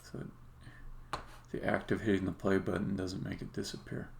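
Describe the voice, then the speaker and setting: A man doing casual live-coding commentary as a game developer, muttering haltingly to himself as he thinks aloud, with keyboard clicks behind him. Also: low-pitched, little pitch movement, vocal fry. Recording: faint background noise, keyboard clicking.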